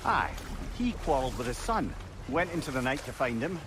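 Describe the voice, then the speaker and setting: An actor playing a talkative, learned old counsellor in a video game, with an eloquent, theatrical way of talking. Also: male voice, mid-range voice, big pitch swings, fairly quick, noisy background, natural voice. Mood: wry storytelling. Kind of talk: video game dramatic acting.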